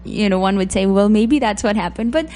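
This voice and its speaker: A woman, a doctor answering questions in a radio interview in a measured, thoughtful way with frequent pauses. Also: medium pitch, dark tone, a little hiss or hum. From Philippines. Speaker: guest physician.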